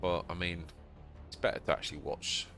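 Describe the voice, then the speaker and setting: A man with a Yorkshire accent streaming a card game live, chatting to viewers and yelping with excitement at surprises. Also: brisk, soft background music. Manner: excitable, bursts into high yelps at surprises. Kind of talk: live gameplay commentary.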